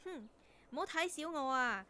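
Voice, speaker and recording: Perky young female intern, high voice, chatty, blunt, exclamatory, radio drama, faint noise and ambience behind. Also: lively intonation, normal speed, sharp tone. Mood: spirited. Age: young adult. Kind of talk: Cantonese radio drama acting.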